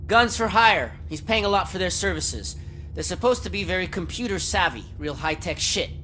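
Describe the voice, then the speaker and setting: A hot-headed policeman in a video game. He is blunt and indignant, with a bright, edgy voice that leaps around in pitch. Faint noise sits behind him. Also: sing-song, moderate pace, exaggerated voice. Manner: agitated, blunt. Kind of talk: video game cutscene acting.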